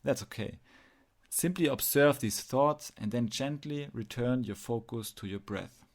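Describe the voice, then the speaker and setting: A man narrating a guided meditation, speaking in measured phrases with pauses as he gives instructions. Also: medium pitch.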